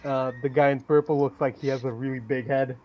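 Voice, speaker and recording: A man's voice: mid-range voice, little pitch movement, brisk, lo-fi sound. A man on a livestream panel, chatting casually with dry quips.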